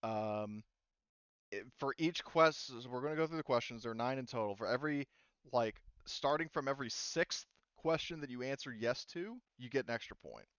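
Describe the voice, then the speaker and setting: A man running a tabletop game session as game master, explaining rules casually in a halting, easygoing way. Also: mid-range voice, fairly bright tone, clean tone, dry recording, dull audio.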